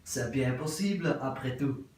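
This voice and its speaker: A man's voice: mid-range voice, a little mellow, lightly acted. A man playing a prim, polite English wife. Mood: politely puzzled. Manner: formal, measured, repetitive politeness. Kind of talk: theatre acting of an absurd play. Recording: very echoey room, voice from far off.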